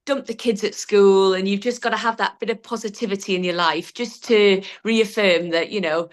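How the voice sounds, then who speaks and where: A woman's voice: medium pitch, fairly even intonation, normal speed. A middle-aged woman with a Geordie accent, a hotel director speaking reflectively in a business interview, with frequent ums and restarts. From Newcastle, England.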